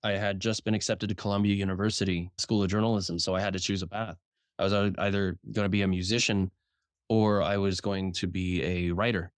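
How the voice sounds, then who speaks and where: A man, a writer and journalist, talking frankly in a casual interview, swearing now and then and restarting his sentences, speaking above his naturally deep voice. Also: level tone, fairly quick, no echo, studio quality. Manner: frank, swears casually, repeats words when starting sentences.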